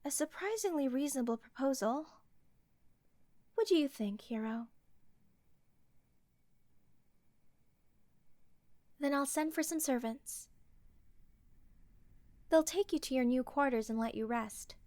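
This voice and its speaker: Woman acting high-voiced, earnest royal lady. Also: expressive, normal speed, long pauses, a little mellow, no echo. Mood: earnest, gracious. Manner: formal, polite, earnest. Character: earnest, courteous young royal ruler, principled and protective. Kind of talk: fantasy audio roleplay acting.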